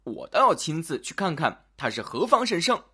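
Brief: cowardly, blustering master in a children's tale, done by a storyteller, theatrical and very animated. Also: medium pitch, moderate pace, husky, exaggerated voice. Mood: scornful. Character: blustering barn owner turned tearful coward.

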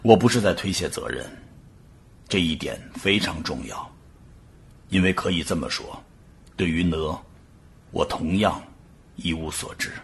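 Guy acting serious worried professor in an audio drama, deep really raspy voice, slow careful formal speech. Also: exaggerated voice. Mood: grave, measured. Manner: measured, solemn, halting. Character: grave, uneasy male scientist professor addressing a council.

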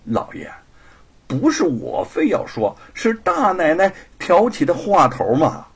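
Guy doing a sassy young mistress in an audiobook, coy and biting, high husky swoopy voice. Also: huge pitch swings, brisk, heavily acted. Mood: pouting coy. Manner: coquettish, biting. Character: proud defiant young mistress, coy and sharp-tongued.

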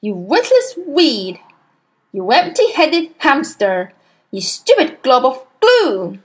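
A woman voicing a sarcastic, bullying headmistress who barks and sneers loudly. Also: fairly high pitch, very animated, normal speed. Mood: bellowing. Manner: barking, sneering, loud.

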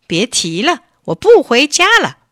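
A woman's voice: high voice, wildly swooping pitch, measured pace, sharp tone, no room sound, clean studio sound, pitched above the performer's own voice, heavily acted. A woman voicing a hot-tempered, sulky little boy in a children's story, in an emphatic childlike manner. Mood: indignant, sulky.

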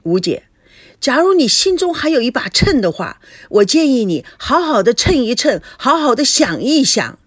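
A feisty young woman in an audio story, played by a woman narrator. She is defiant and exasperated, speaking in a fairly high, animated voice with a dry, close sound. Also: moderate pace, dry recording. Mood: indignant. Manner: assertive, exasperated.

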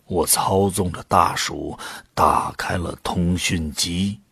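A man playing a brooding volunteer who narrates his own story in a measured, solemn audio drama style. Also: deep voice, fairly even intonation, creaky, sharp tone, breathy edge, no room sound, exaggerated voice. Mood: resolute. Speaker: narrator and lead actor. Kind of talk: scripted first-person drama narration. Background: music.

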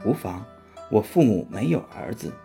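A man voicing a gentle, pleading princess in a storybook, speaking quietly. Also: fairly low pitch, sing-song, moderate pace, gravelly, soft background music. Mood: soft pleading.

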